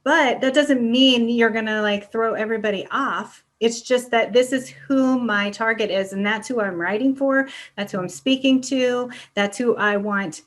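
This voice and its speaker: A woman's voice: fairly high pitch, normal speed, few pauses. A woman podcast host and coach giving informal advice in a casual chat, often checking in with the listener. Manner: informal, often checks in with "right?" and "you know".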